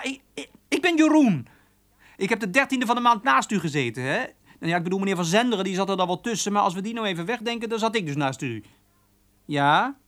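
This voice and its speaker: A man's voice: high-pitched, a bit bright. An actor playing a cheeky, eager young office boy in a radio drama, chatty and often hesitant.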